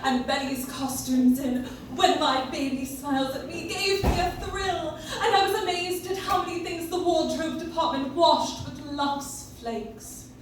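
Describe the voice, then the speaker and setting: A woman's voice: high voice, fairly even intonation, a little slow, few pauses. An actress playing an enthusiastic lady drama coach in a scripted 1940s radio advertisement. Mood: gushing. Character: gushing lady drama coach endorsing soap flakes. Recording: echoey, distant, noisy audience-member recording.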